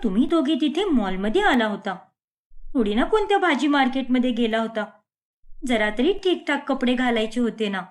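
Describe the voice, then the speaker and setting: An image-conscious young daughter-in-law acted by a female narrator in a cartoon family drama. Her voice is fairly high and very animated, with a defensive, reproachful way of explaining herself.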